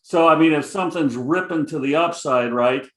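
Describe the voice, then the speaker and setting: A man's voice: a little high, moderate pace, a bit bright, reverberant. A middle-aged man with a Chicago accent, a guest options trader talking casually, rambling with many fillers. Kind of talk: casual interview chat.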